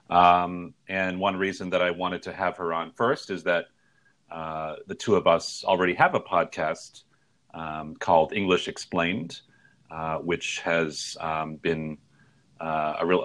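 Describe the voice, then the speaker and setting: A man hosting a casual interview podcast, talking in a relaxed, hesitant way with many fillers. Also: low-pitched. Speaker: podcast host.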